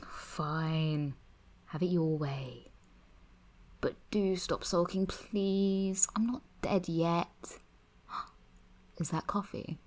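A woman's voice: lowish voice, fairly even intonation, extremely slow, pauses often, darkish tone. An actress playing a wry, teasing young woman who is ailing, in an audio drama, at times murmuring weakly. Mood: playful. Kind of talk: naturalistic audio drama acting.

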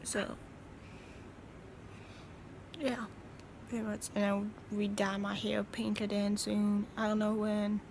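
A tired young woman talking slowly into a phone held close, rambling and half-whispering in a small room. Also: medium pitch, completely flat delivery, a little mellow, a little hiss or hum. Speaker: vlogger. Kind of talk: casual selfie video chat.

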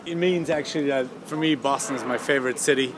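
A man's voice: mid-range voice, fast talker, crowd noise. A man with a German accent, enthusiastic in a street interview on a city sidewalk. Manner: enthusiastic, switches between German and English. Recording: noisy street outdoors.

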